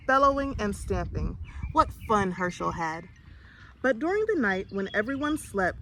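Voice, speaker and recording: Animated woman reading a children's story, noisy recording. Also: mid-range voice. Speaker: storybook reader. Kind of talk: expressive children's read-aloud.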